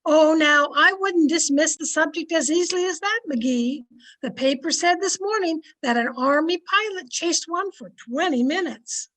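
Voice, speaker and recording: A woman playing a patient, sensible, affectionate American wife in an old-time radio comedy, gently correcting with endearments. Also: sits high, moderate pace, low-quality recording. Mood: gently reasoning. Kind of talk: 1940s radio comedy acting.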